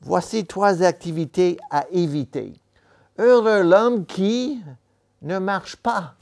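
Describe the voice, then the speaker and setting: A man preaching a sermon in a church, a non-native speaker of the language, talking slowly and deliberately with pauses and self-corrections. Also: high voice, vocal fry, darkish tone. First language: not French.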